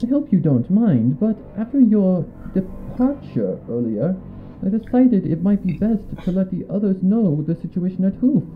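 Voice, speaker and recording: A refined lady pony , as a male reader puts her on, speaking high and with polite concern. She sounds fussy and anxious, like a cartoon character in a storybook tale. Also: very animated, moderate pace, narrow, tinny audio, over music.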